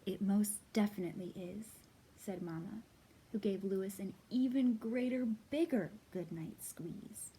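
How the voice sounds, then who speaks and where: A woman hosting storytime for young children, reading and talking to them in simple, expressive phrases. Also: medium pitch, a little slow, a little hiss or hum, low-quality recording. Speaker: children's library storytime host. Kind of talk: storytime read-aloud and singalong for young children.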